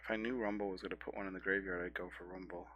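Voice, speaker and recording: A man's voice: low voice, fairly even intonation, rapid-fire, fairly bright tone, lo-fi sound. A man in his thirties with a scratchy, stuffy voice from a cold, casually commenting on his own card game as he plays, thinking aloud and correcting himself. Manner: rambling, thinking aloud with fillers and self-corrections. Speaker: gameplay streamer.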